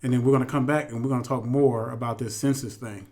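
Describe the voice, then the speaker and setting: A man's voice: mid-range voice, steady tone, fast talker. A man hosting a religious teaching commentary, speaking emphatically and directly to his followers with repeated phrases. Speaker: host.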